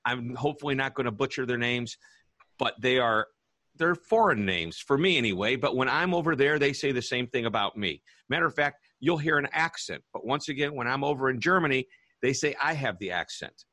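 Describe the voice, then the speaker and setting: A man's voice: mid-range voice, animated, brisk, sharp tone, dry recording. A man hosting a business radio show, chatting in an upbeat, enthusiastic way as he introduces guests. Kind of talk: casual interview hosting.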